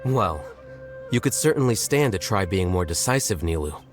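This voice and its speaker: An aloof male scholar from a video game in a fairly low voice with a lively range. He is formal and dryly sardonic, never giving ground. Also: normal speed, over music. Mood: dry sarcasm. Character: aloof young male scholar-judge, dry, sardonic, unyielding.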